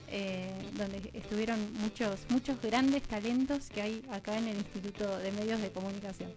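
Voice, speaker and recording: A woman's voice: on the high side, a little mellow, rough recording. A woman with an Argentine Rioplatense accent speaking as a radio interview guest, explaining conversationally in long, chained sentences as she thinks aloud. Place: radio studio.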